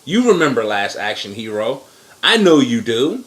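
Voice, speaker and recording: A man giving blunt, profane opinions in casual chat as co-host, with sudden jumps in pitch. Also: big pitch swings, normal speed, fairly bright tone.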